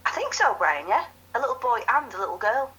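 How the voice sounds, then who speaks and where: A woman's voice: fairly high pitch, very animated, a little fast, pushed and bright. An actress playing an earnest, slightly hesitant woman calling a radio phone-in over a telephone line. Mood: earnest, awed. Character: earnest woman phoning in her ghost sighting.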